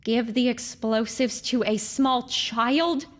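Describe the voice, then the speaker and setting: A woman voicing a small, feisty young mare heroine, bossy and sarcastic, with a brisk, assertive delivery. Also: on the high side, fairly even intonation, no room sound. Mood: exasperated.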